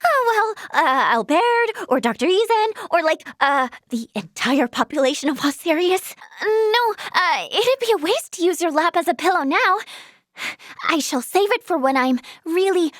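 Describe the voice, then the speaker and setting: A woman's voice: extremely high pitch, very animated, normal speed, fairly bright tone, no echo, clean studio sound, full character voice. A woman voicing a cheerful, earnest young female healer in a video game, girlish and easily flustered into stammering. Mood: flustered, embarrassed. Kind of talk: animated video game voice acting.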